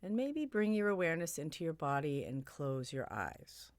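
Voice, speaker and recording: A woman leading a guided meditation in a soothing, gently suggestive way, her phrases broken by long pauses. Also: low-pitched, big pitch swings, dry recording.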